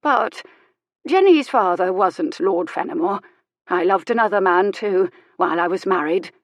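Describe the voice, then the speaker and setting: A woman voicing a sharp-tongued, dying elderly noblewoman with a wry, imperious manner. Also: mid-range voice, animated, measured pace, dry recording, lightly acted. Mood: confiding. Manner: tart, teasing, grandly authoritative.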